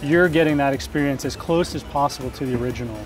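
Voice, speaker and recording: Male product rep, slightly high voice, informal explanatory delivery at a show booth with music clearly audible behind. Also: fast talker. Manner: explanatory, agreeable. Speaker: company product representative. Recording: music playing in the background.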